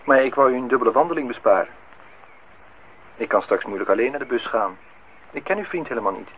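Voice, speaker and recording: An actor playing a polite, lonely host in a radio play, formal and slightly insistent. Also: male voice, mid-range voice, animated, fast talker, natural voice. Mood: hesitant, matter-of-fact. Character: polite, lonely man hosting a young woman at his lakeside house. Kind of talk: Dutch radio play acting. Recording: noisy, tinny old radio recording.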